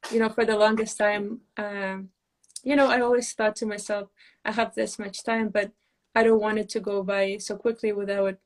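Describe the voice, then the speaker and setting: Flat-voiced young woman from Moldova. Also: mid-range voice. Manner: earnest, frequent soft laughs and fillers. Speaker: pageant titleholder. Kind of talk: casual live video chat.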